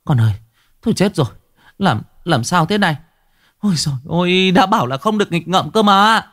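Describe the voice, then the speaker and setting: A man's voice: high-pitched, lively intonation, fairly quick, fairly bright tone, voice pushed up. A man voicing an anxious young mother who fusses over her hurt child in a raised voice. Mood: panicked. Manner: anxious, soothing. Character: worried, fussing young mother.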